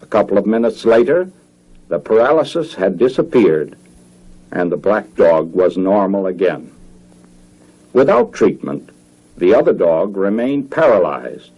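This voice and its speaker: American man, dark husky tone, formal documentary narration, measured phrasing, careful enunciation, 1950s educational film soundtrack. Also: on the low side, big pitch swings, poor audio.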